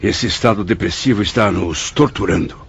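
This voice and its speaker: An actor playing a weary, troubled spirit apprentice in a radio drama, speaking formally and anxiously. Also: male voice, low voice, moderate pace, gravelly, husky, dull audio, full character voice. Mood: weary, dejected.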